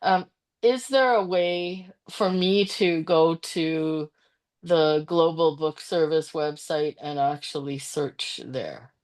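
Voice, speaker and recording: Slow, hesitant woman asking a question. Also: low-pitched, darkish tone. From Canada. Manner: hesitant, self-correcting, with restarts. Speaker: audience member asking a question. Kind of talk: conference Q&A question.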